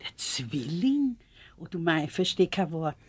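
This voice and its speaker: A woman's voice: lowish voice, very animated, slightly rough, no room sound, exaggerated voice. A woman playing a bewildered, deferential housekeeper with an Austrian accent in a radio play. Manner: slow, astonished, servile.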